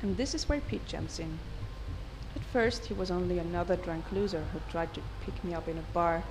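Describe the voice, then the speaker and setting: A woman's voice: on the low side, a little fast, noisy background, natural voice. A woman voicing a cool, scheming fraud investigator. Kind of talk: game intro voice-over narration. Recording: music underneath.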